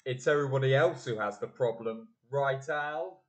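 A man's voice: mid-range voice, normal speed, close to an everyday voice. A man playing a breezy, teasing member of a family in a community audio play. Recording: echoey room.

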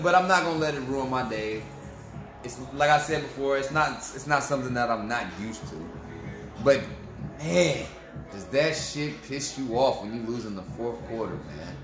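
A man's voice: medium pitch, animated, a little slow, pauses often, roomy sound, over music. A young man ranting profanely and with frustration to his viewers in a sports fan vlog, recorded at home in front of a camera. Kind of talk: ranting post-game fan review. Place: room at home, indoors.

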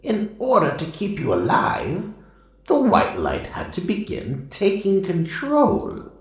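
An actor voicing a stern, solemn mystical spirit in an audio drama, speaking deliberately and lecturing. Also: medium pitch, huge pitch swings. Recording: heavily echoing voice.

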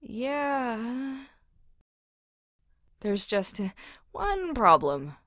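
A woman's voice: fairly high pitch, wildly swooping pitch, extremely slow, fairly bright tone, dry recording, clean studio sound, full character voice. A woman playing an anxious, hesitant young mother-to-be in an audio drama. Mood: uneasy.

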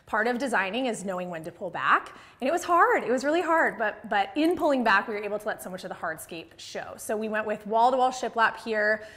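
A woman's voice: medium pitch, animated, brisk, hardly any pauses, fairly bright tone. Chatty American woman presenting a house tour. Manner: chatty, enthusiastic, conversational. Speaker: interior designer giving a house tour. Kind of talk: casual home tour presentation to camera. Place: large open-plan house interior with hard floors. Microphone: clip-on lapel mic.

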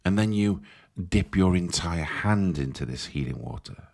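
A man with a low, deep voice leads a guided meditation. He speaks in a measured, soothing way, giving gentle instructions as a guide would. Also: animated, vocal fry.

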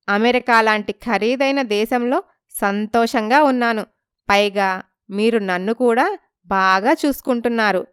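A woman voicing a wronged young village wife in a story, polite and pleading but quietly determined. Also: fairly high pitch, clean tone, no room sound, studio quality, close to an everyday voice. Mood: meek, persuasive. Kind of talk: dramatised story acting.

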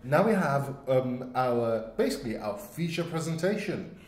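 A man's voice: medium pitch, big pitch swings, moderate pace, darkish tone. A man co-hosting a podcast, chatting casually about audio plays. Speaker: podcast co-host. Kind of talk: casual discussion of radio plays. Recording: echoey room.

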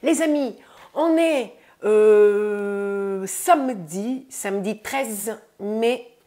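A woman in her thirties with a stuffy nose from a cold, chatting casually to her viewers about her groceries in a bright room, recorded on a lapel mic. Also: mid-range voice, extremely slow. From France. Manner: chatty, says "les amis" often, upbeat. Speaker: vlogger. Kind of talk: casual grocery haul vlog. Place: bright room indoors by a window.

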